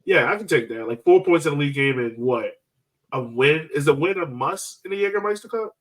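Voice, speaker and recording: A man's voice: a little high, expressive, brisk. A man hosting a sports podcast in an informal, conversational style, thinking aloud as he goes. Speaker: soccer podcast host. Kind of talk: casual sports chat.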